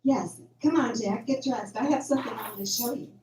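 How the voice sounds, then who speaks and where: A woman's voice: fairly high pitch, a little fast, darkish tone, close to an everyday voice. A woman playing an affectionate, encouraging young farm woman in an amateur radio drama. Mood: affectionate, playful. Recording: echoey room, faint background noise.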